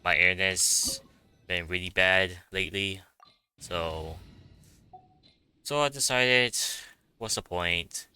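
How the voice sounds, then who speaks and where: A man giving casual game playthrough commentary in a hesitant, halting, self-deprecating way. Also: low-pitched, pushed and bright, clean tone, faint music behind. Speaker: gaming streamer.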